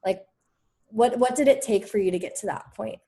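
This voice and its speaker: A woman's voice: on the high side, moderate pace, mellow. Enthusiastic woman coach on a video call. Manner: enthusiastic, effusive. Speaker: coach hosting a group call. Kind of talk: casual group coaching call.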